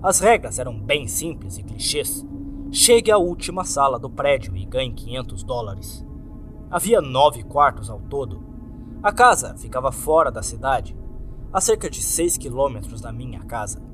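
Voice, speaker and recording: A man's voice: medium pitch, big pitch swings, moderate pace. A man with a Brazilian accent reading a scripted first-person horror story with dramatic delivery, as narrator. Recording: background music under the voice. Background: music.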